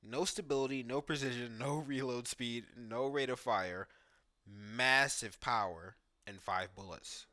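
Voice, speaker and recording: A man's voice: medium pitch, unhurried, pushed and bright. A man giving casual reaction commentary on video game footage, talking informally and thinking aloud as a gaming YouTuber.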